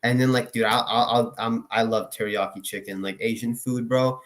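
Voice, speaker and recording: A man's voice: mid-range voice, level tone, normal speed. A young man from Finland, a guest in a casual chat, rambling with many fillers and swearing. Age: young adult.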